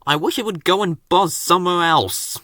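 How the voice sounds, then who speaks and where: A man voicing a plucky young male steam engine from a children's storybook, quick to get cross and given to excited exclamations. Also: high voice, no room sound, clean studio sound. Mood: grumpy.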